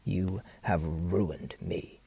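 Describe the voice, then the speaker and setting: A man's voice: sits low, steady tone, extremely slow, vocal fry, warm tone, husky, no echo, exaggerated voice. A man playing a proud, volatile sea captain in an audio drama, indignant and theatrical in formal period diction. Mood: bitter, restrained. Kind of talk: stage-play acting in an audio drama.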